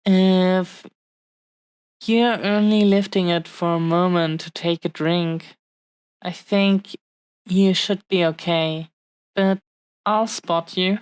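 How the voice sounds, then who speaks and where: A woman acts out an adoring dragon-blooded heroine in an intimate audio roleplay. She speaks very slowly in a slightly low female voice, pausing often as she earnestly addresses her beloved. Her delivery is tender and possessive, captured close and dry. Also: fairly even intonation, clear, resonant tone, no echo. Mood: affectionate, gentle. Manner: hushed-paced, halting, affectionate, earnest delivery with long pauses.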